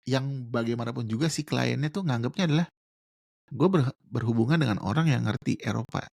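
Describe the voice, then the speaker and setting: Brief: man, gravelly, dry close sound, patient explainer, slangy with English words. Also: mid-range voice, brisk, a little mellow, no echo. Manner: explains with examples, slangy Jakarta register, mixes in English words. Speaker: guest. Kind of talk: casual podcast chat.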